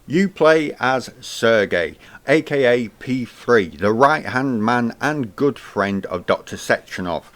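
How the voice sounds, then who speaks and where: A man with a mid-pitched voice reads his own scripted review of a video game. Each phrase starts high and falls away at the end, so the delivery sounds lively. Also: slow pace, hardly any pauses. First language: English.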